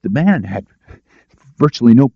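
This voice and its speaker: A man's voice: mid-range voice, sing-song, moderate pace, slightly rough, no echo, dull audio, voice pushed up. A man voicing a cowed, apologetic older rest-home director who stammers defensively, in an audiobook. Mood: defensive, agitated. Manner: stammering, hesitant.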